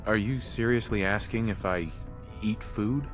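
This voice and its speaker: A man's voice: fairly low pitch, a little slow, soft background music, close to an everyday voice. An actor playing a weary, plain-spoken male survivor with understated, questioning delivery in a video game. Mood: wry, questioning. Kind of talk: video game dialogue acting.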